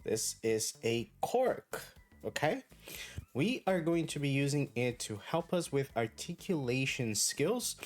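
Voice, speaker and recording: A man whose first language is Brazilian Portuguese, giving an online English pronunciation lesson in a clear, deliberate, encouraging way. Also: a little high. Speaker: English teacher.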